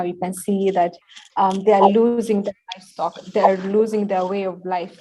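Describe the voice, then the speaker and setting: A woman speaks on a panel in a mellow, warm voice. She is earnest and halting, with frequent fillers and repeated words, and a faint hiss sits under her voice. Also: medium pitch, rough recording. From Kenya. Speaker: panelist, climate activist. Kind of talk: panel discussion answer.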